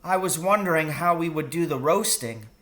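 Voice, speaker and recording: Man doing a curious young boy, storybook, raised pitch, bright, questioning, earnest, practical. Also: normal speed, close to an everyday voice. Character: curious, practical, doubtful young boy talking to his dad. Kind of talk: children's storybook reading.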